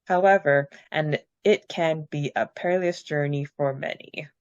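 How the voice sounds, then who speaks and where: A woman's voice: low-pitched, a little slow, no echo, muffled recording. A woman co-hosting a podcast, chatting casually and reading out listener questions and answers in an upbeat way. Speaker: podcast co-host.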